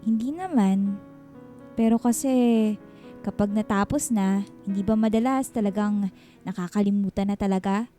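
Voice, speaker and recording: A woman's voice: mid-range voice, normal speed, pauses often, dark tone, close to an everyday voice. An actress with a Filipino accent playing a guarded, self-conscious single mother in a radio drama, conversational, teasing yet unsure. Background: background music.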